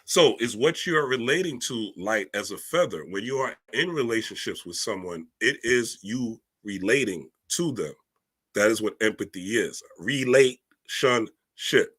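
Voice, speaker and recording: A man's voice: fairly low pitch, lively intonation, measured pace, fairly bright tone, husky. A man giving a casual spiritual monologue, emphatic and preachy, using streetwise slang and checking in with "right?" often. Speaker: spiritual reader and speaker.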